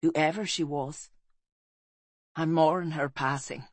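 A woman voicing a brave, gentle male clan leader in an audiobook story, speaking in a solemn, measured, earnest way. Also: low-pitched, fairly even intonation, dry recording. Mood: grave.

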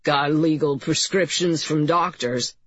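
Lady playing a slacker dude, sullen n grudging, husky, bit muffled audiobook. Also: deep voice, measured pace, dry recording. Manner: terse, grudging. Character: sullen scruffy young man, lazy and defensive.

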